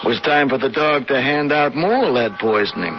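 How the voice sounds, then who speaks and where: A man's voice: medium pitch, expressive, normal speed, lightly acted. A man playing a tough, slangy American police lieutenant in a 1980s radio drama, talking in colloquial, hard-boiled fashion. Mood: grim, hard-boiled. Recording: muffled, noisy 1980s radio broadcast.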